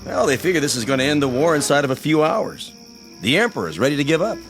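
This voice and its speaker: An actor playing a plain-spoken army officer delivering orders and news in a radio drama. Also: male voice, fairly high pitch, sing-song, fast, music in the background. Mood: excited news-bearing.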